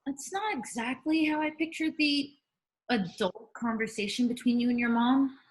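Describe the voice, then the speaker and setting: A woman playing a sarcastic, excitable middle school best friend in a comedic table read, wry and emphatic. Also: a little high, normal speed, close to an everyday voice.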